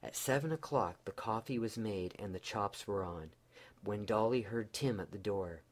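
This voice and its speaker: A man narrating a bedtime story in a measured, mock-solemn way, phrase by phrase. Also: fairly low pitch.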